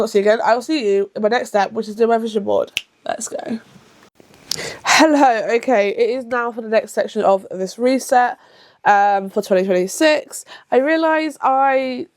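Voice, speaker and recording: Chatty woman around thirty. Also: medium pitch. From England. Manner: chatty and rambling, with frequent self-corrections; rushed at times. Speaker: book vlogger. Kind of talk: casual to-camera vlog.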